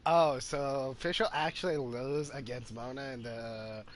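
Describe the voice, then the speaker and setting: A man giving casual playthrough commentary as a gamer, halting and musing as he goes. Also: medium pitch, fairly even intonation, slight background noise. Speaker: gamer, video host.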